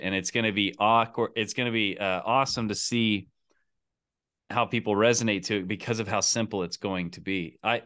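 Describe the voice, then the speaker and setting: A man's voice: fairly low pitch, a bit bright, clear, resonant tone, no echo, studio quality. A middle-aged man chatting casually as the host of a coaching call, indoors on a video call. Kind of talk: casual chat. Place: indoor home office.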